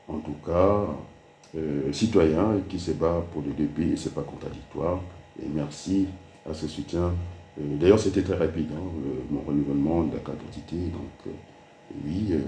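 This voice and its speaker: A man's voice: a bass voice, warm tone, echoey room, a little hiss or hum. A man from Congo with a Congolese French accent, giving an emphatic political address that mixes French and Lingala, with many fillers.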